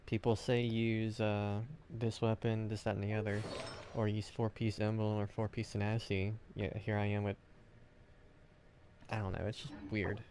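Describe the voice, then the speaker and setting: A man with a fairly low voice chats loosely as he plays a game. His delivery is offhand and rambling, with hesitant gaps. The recording is noisy, with clear background sound behind him. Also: flat delivery, moderate pace. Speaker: video game streamer. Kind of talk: casual gameplay chat.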